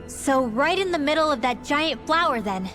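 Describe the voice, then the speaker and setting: An earnest young game heroine with an anxious streak. Her voice is high, and faint music runs underneath. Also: animated, moderate pace, a bit bright. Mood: apprehensive. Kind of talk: scripted video game voice acting.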